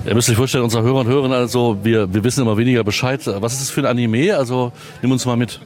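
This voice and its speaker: A man's voice: medium pitch, fairly even intonation, very fast, darkish tone. A middle-aged man from East Germany hosting a casual radio chat, steering it with questions. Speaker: radio host and interviewer.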